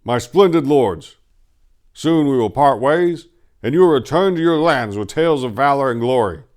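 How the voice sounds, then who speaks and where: A man voicing a commanding warlord who addresses his lords loudly, in grand, proud oratory for a fantasy story. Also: mid-range voice, expressive, normal speed, pitched above the performer's own voice, lightly acted. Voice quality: loud, declamatory.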